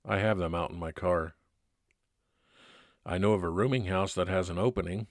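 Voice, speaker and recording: A man playing a kindly, laconic 1950s private detective, narrating his case in old-time radio drama style with measured, plain-spoken delivery. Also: deep voice, fairly even intonation, no echo, studio quality, lightly acted. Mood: matter-of-fact. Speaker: narrator and lead actor.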